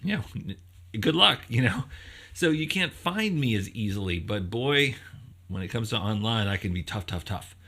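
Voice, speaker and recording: A man's voice: on the low side, expressive, moderate pace, a bit bright. A man giving an informal explanatory lecture as a professor, conversational and thinking aloud, posing rhetorical questions as he goes.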